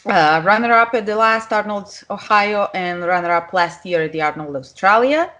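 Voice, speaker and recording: A woman's voice: medium pitch, normal speed, fairly bright tone. A woman from Serbia with a Serbian accent, a podcast guest speaking English as a second language with some non-native grammar. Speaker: guest, pro figure competitor. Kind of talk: casual interview talk.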